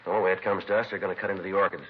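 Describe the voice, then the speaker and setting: An actor playing a terse, methodical American police detective, narrating casework in a clipped, matter-of-fact 1950s radio crime drama style. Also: male voice, sits low, level tone, very fast, a little hiss or hum, lightly acted. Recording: muffled vintage radio recording, faint music.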